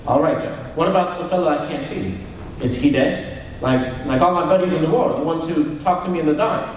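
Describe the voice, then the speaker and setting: A man's voice: a little high, brisk, slight background noise, close to an everyday voice. An actor playing a simple-minded shell-shocked young man in a radio drama, naive and hesitant, stammering a bit. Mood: childlike. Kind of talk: 1930s radio drama acting. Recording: echoey old radio recording from far off.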